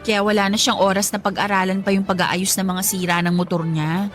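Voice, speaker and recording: A woman's voice: mid-range voice, level tone, fairly quick, soft background music, natural voice. A woman playing a curious, teasing young woman in a radio drama. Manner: inquisitive, teasing.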